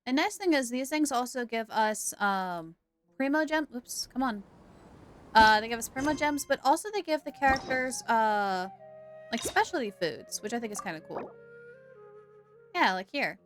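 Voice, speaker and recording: A woman's voice: fairly high pitch, lively intonation, moderate pace, a bit bright, faint music behind. A woman live streamer giving casual, chatty commentary on a video game, thinking aloud and repeating herself.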